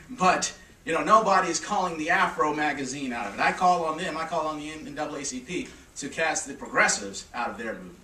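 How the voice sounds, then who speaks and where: A man speaking forcefully as a panelist at a press conference, urging his listeners. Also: a little high, animated, fairly quick. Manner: forceful, exhorting. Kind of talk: public statement. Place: press club conference room. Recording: echoey room, distant mic, faint noise.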